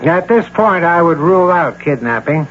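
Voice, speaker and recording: A man's voice: on the high side, expressive, moderate pace, fairly bright tone. An actor playing a polite, worldly male private detective with a dry, matter-of-fact manner in a radio drama. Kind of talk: 1980 radio drama acting. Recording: dull, hissy old radio broadcast.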